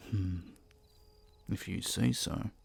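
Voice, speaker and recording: A man acting young crown prince, breathy and husky, low-pitched, teasing, affectionate, courtly, romantic audio roleplay. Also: fairly even intonation, takes it slowly, heavily acted. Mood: tender, wistful. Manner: teasing, soft-spoken courtly intimacy.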